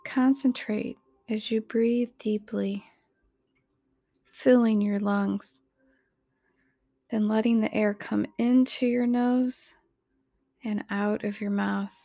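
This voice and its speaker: A woman narrates a guided meditation in a soft, soothing voice. She speaks very slowly and evenly, with little movement in pitch. Her delivery is measured and instructional, recorded close and dry. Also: fairly even intonation, creaky, no echo.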